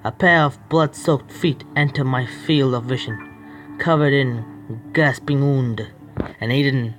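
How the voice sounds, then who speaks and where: A man streaming a horror visual novel, reading its text aloud haltingly, stumbling over words and breaking off to complain at the game. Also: mid-range voice, a bit bright, soft background music. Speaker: gaming streamer.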